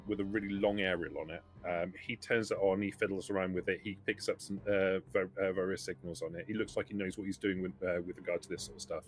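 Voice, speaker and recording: A man acting as game master, narrating and bargaining in a halting way that repeats words. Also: low-pitched. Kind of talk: improvised tabletop game narration and negotiation in a trader's role.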